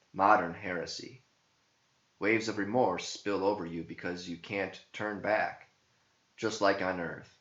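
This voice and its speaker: A man reading scripted verse as a narrator, in a solemn, deliberate and theatrical manner. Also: deep voice, a bit bright. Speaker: author and narrator. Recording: muffled, echoey home recording.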